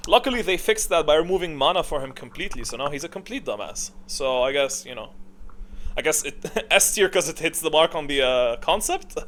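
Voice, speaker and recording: A man giving casual, off-the-cuff ranking commentary in an informal, opinionated way, often halting to think aloud. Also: fairly high pitch, animated, fairly bright tone. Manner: opinionated, informal, mildly profane. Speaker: video creator giving a tier list. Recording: clearly audible background noise.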